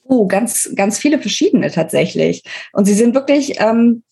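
A woman with a German accent and a somewhat husky voice at a middling pitch speaks as a podcast guest. She explains in an informal, emphatic way, thinking aloud and rephrasing as she goes. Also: lively intonation, moderate pace, warm tone. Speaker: interview guest, author. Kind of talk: conversational expert explanation in a podcast interview.

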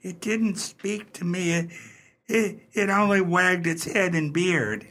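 A woman playing a frightened, flustered beadle in a radio play, stammering and halting with folksy, deferential speech. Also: on the low side, fairly bright tone, exaggerated voice. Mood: frightened, insistent. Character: frightened, flustered beadle who tends the family vault.

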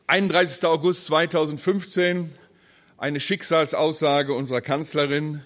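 A man preaches with earnest emphasis. His voice sits a little high for a man, and he slows down and sinks low on the words he wants to stress. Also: fairly even intonation, fairly bright tone. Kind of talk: sermon.

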